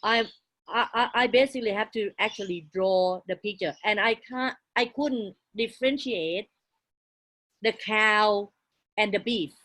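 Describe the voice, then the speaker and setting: A woman in her forties, English not her first language, telling her life story as a guest in halting, broken English with frequent restarts. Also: mid-range voice, a bit bright. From outside Australia. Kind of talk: casual interview, life story.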